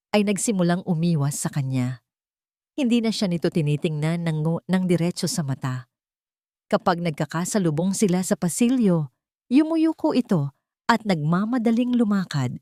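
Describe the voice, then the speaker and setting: A woman reading scripted dramatic story narration in measured, short phrases. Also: on the low side, animated, a little mellow, no echo, studio quality.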